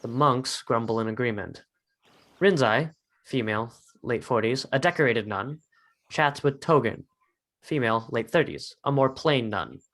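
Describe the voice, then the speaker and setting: A man narrates a scripted drama in a mid-pitched voice. He reads out scene headings and stage directions as the narrator. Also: moderate pace, fairly bright tone.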